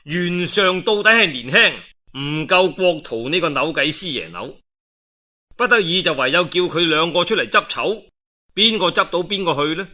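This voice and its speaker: Animated bright-voiced Cantonese male storyteller. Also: fairly high pitch, moderate pace. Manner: colloquial, dramatic delivery with interjections. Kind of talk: animated oral storytelling of a historical novel.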